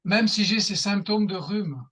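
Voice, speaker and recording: Une animatrice au timbre soufflé et légèrement éraillé mène la séance avec des consignes brèves. Sa voix reste dans un registre moyen, au micro d'un appel vidéo. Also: female voice, medium pitch, measured pace, a bit bright, breathy edge. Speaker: EFT session leader. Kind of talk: guiding a group tapping session. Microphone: video call.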